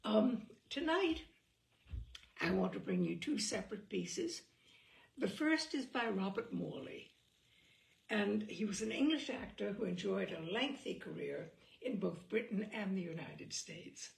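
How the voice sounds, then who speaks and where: A woman host reading a humorous literary essay aloud with theatrical, deliberate, carefully phrased delivery. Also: mid-range voice, expressive, creaky. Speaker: host and reader. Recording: roomy echo, distant microphone.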